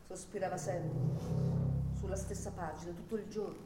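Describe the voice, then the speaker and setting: An actress playing an attentive, obedient female carer in a radio drama, reporting in a measured, deferential way. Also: on the low side, fairly even intonation, lightly acted. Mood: soft, reporting. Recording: echoey sound under loud music. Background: loud instrumental music.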